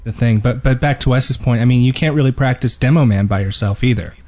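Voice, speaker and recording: A man's voice: mid-range voice, quick pace, warm tone. A man co-hosting and steering a gaming podcast in casual conversation. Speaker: gaming podcast co-host, moderator. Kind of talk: casual chat.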